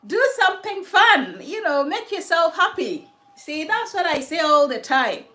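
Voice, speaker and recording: Slow, reflective woman chatting on a livestream. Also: very high voice, very animated, sharp tone. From Ghana. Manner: slow, reflective, faith-filled talk, answering comments. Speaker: livestream host. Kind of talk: casual livestream chat with viewers. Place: her home.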